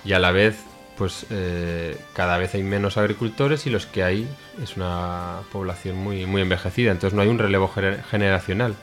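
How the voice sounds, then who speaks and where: A man's voice: low voice, steady tone, moderate pace, fairly bright tone, soft background music. A man speaking as a studio guest on a radio show, explaining things in a hesitant way with many restarts. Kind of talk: casual explanatory talk.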